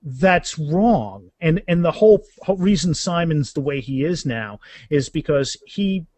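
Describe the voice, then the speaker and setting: A man speaking as an author guest in a casual interview, halting and restarting phrases, with self-deprecating humor. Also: on the high side, animated, a little mellow, dry recording.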